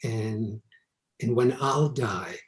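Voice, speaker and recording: Man interviewer, soft and affirming, very slow delivery, roomy echo. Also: medium pitch. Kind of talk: interview questions and backchannel. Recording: echoey room.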